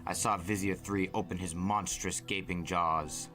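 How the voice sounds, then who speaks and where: A low-voiced man tells a dramatic tale as narrator. His tone is bright and forceful, the phrasing intense and deliberate, with music behind him. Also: fairly even intonation. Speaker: storyteller, podcast narrator.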